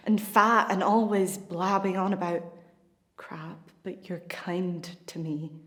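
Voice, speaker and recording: A woman's voice: mid-range voice, close to an everyday voice. A woman playing a blunt girl confessing affection. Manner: coarse then softening to a whisper. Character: blunt young woman tenderly admitting affection.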